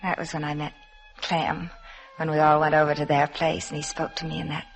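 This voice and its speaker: An actress playing a shy, wistful young woman recalling a summer in a halting, artless way in a 1950s radio drama. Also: deep voice, completely flat delivery. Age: young adult. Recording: 1950s radio broadcast, soft music behind.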